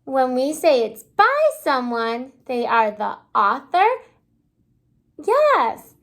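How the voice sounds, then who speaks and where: Woman, kindergarten teacher. Slow, animated read-aloud delivery, high voice, prompting young listeners. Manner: expressive, prompting listeners with questions and pauses. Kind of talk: children's read-aloud lesson with questions.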